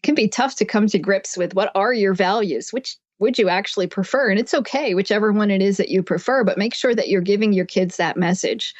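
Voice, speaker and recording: A woman with a mid-range voice speaks as a podcast guest, explaining advice conversationally and in a measured way. The sound is dry, with no room to it, and slightly lo-fi. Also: medium pitch, no echo. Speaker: podcast guest, psychotherapist and author.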